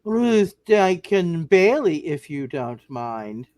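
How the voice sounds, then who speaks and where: A man voicing prim, dry women of a small-town family in a hammed-up play reading, deliberate and arch. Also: a little high, very animated, a little mellow, no room sound.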